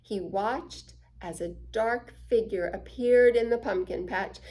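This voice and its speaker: A woman's voice: mid-range voice, takes it slowly. A woman reading a children's picture book aloud expressively, with little asides to her listeners. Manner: expressive, dramatic shifts between hushed and excited. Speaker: children's story time reader.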